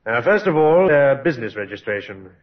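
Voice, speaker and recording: A man's voice: medium pitch, sing-song, brisk, dull audio, natural voice. Male actor as commanding detective inspector, radio drama, middle register, dulled old recording with slight hiss. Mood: businesslike. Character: brisk, authoritative male police inspector. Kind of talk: 1950s radio drama acting.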